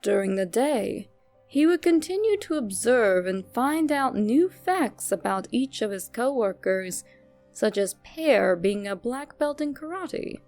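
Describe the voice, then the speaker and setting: A woman narrates a scripted creepy fan-theory story over faint music. Her voice sits on the high side and swings animatedly up and down. It often breaks into a noticeable vocal fry. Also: darkish tone.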